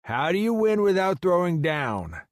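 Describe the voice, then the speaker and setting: A man's voice: sits high, very animated, a little slow, dry recording, clean studio sound, pitched above the performer's own voice. A man voicing a supportive, easygoing male friend who coaxes and encourages, in audiobook dialogue. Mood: coaxing, probing. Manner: coaxing, encouraging, questioning.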